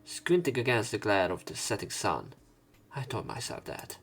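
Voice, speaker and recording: A man's voice: fairly low pitch, sing-song, moderate pace, fairly bright tone, breathy edge. A man doing a let's play, reading a visual novel's narration and dialogue aloud.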